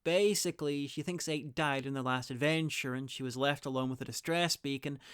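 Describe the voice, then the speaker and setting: A man's voice: medium pitch, fairly even intonation, a little fast, a bit bright, smooth, dry recording. A man presenting a scripted guide, narrating with dry, opinionated asides. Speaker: video presenter and reviewer.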